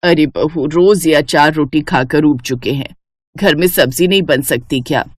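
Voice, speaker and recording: A woman's voice: deep voice, normal speed, no room sound, pitched below the performer's own voice. A woman voicing a blunt, outspoken elderly mother-in-law in a cartoon story. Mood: grumbling.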